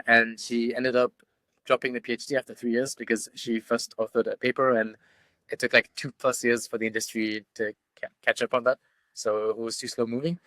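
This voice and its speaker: A man's voice: medium pitch, fairly bright tone, no echo. Young male host from Brussels, easygoing, filler-heavy delivery, dry earbud-mic recording. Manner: casual, filler-heavy, friendly questions. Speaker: podcast host. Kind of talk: casual interview hosting. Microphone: earbud microphone.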